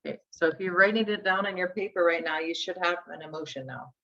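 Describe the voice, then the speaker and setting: A woman's voice: on the low side, fast, fairly bright tone. A woman in her forties teaching a live workshop, conversational as she talks through each step aloud. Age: 40s. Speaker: instructor. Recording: dull lo-fi sound.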